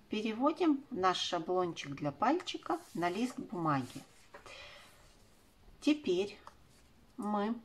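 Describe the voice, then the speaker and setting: A woman's voice: on the low side, slightly rough. A woman in her forties giving step-by-step craft instructions for children, speaking in affectionate diminutives. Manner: uses affectionate diminutive words. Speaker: craft tutorial presenter.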